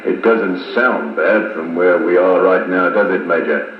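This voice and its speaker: Echoey noisy old recording, lowish male voice, cheeky down-to-earth soldier, grumbling, vintage radio drama. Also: measured pace, over music. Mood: teasing. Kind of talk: 1950s radio drama acting. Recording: tinny, echoey, noisy 1950s wire recording.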